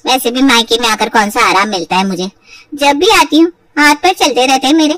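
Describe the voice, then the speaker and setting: Spiteful, high-voiced young married sister-in-law, acted by a woman. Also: fairly quick, a bit bright, no echo, far from the mic. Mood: sarcastic taunting. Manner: sarcastic, complaining, theatrical. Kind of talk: acted Hindi moral story for cartoon.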